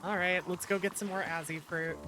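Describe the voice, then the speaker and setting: A woman with a slightly low voice is streaming a game. She talks casually, muttering to herself and dropping short exclamations as she works things out. Loud game music runs behind her throughout. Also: steady tone, a little fast. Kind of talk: casual game stream chat, thinking aloud while playing.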